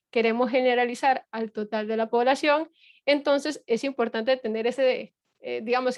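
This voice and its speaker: Middle-aged woman teacher, patient and repetitive, slightly high-pitched, dry close sound. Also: no echo. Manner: patient explaining with frequent fillers and rhetorical checks. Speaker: university lecturer. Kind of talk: online lecture.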